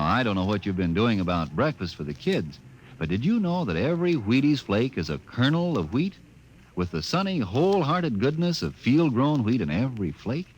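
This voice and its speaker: A man's voice: medium pitch, rough recording. A man as a 1950s radio announcer reading a cereal commercial in a chummy, persuasive way. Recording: old radio recording with hiss.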